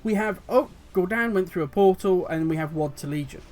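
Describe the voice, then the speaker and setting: High-voiced man with a hoarse voice. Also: fairly quick, darkish tone. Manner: passionate, rambling, musing aloud. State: voice going hoarse. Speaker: video host. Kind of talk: solo commentary on game lore.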